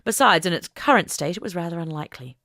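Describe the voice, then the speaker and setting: A woman reading a scripted story as an audiobook narrator, in an expressive storytelling style. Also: on the low side, fast, dry recording, studio quality.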